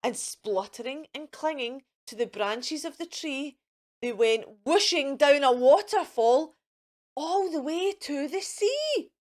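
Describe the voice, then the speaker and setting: High-voiced, lively woman reading to children. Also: slow pace. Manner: theatrical, sing-song, addressing children directly. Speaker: children's storytime host reading aloud. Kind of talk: expressive picture-book narration for children.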